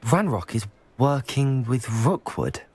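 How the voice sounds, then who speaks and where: Husky, polite young student. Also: male voice, medium pitch, very animated, takes it slowly, a little mellow, full character voice. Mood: guarded, earnest. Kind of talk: video game voice acting.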